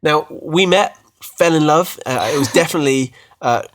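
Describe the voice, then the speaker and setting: A man's voice: fairly high pitch, moderate pace, a bit bright. British man chatting on a faith podcast. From United Kingdom. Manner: chatty, self-deprecating, frequent restarts. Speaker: podcast co-host. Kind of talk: casual faith podcast chat.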